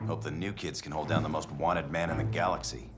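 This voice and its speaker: A man's voice: extremely low pitch, little pitch movement, a little fast, over music. An actor playing a blunt, skeptical male hero teammate. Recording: loud score underneath.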